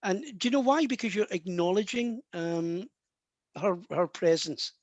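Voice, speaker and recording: A man's voice: high-pitched, animated, unhurried, no echo, lo-fi sound. Chatty rambling male psychic medium. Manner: chatty, rambling, many restarts. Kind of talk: live online mediumship session.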